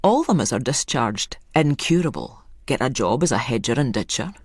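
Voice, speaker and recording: A woman's voice: extremely low pitch, very animated, normal speed, husky, no room sound, clean studio sound, heavily acted. A woman voicing an eccentric, proud old rustic man with a Scottish accent in an audio story, speaking in folksy dialect. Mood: proud, matter-of-fact. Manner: folksy dialect, matter-of-fact pride. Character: old rustic male hedger, proud, eccentric asylum leaver.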